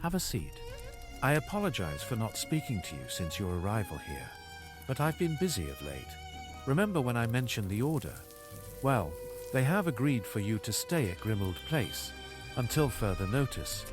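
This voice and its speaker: A man playing an old, courteous wizard headmaster who gently probes, in an audio drama. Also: medium pitch, big pitch swings, moderate pace, music in the background, close to an everyday voice. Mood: courteous, probing.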